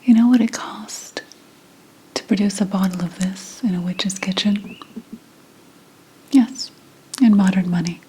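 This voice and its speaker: A woman playing an indignant, bitter 17th-century witch and healer in a whispered ASMR roleplay, deliberate and scornful. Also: medium pitch, dark tone, breathy edge, poor audio. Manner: slow, deliberate, scornful whispering with pauses and sighs.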